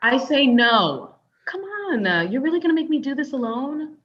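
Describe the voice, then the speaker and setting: A woman's voice: sits high, very animated, normal speed. A woman playing a blunt, exasperated live-in girlfriend in a comedy table read, sardonic and challenging, on slightly dull lo-fi audio.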